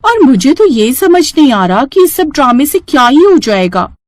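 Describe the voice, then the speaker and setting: A woman's voice: high-pitched, sing-song, moderate pace, dry recording, pitched above the performer's own voice. Scornful, nagging mother-in-law voiced by a woman. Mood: scolding. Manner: sarcastic, taunting.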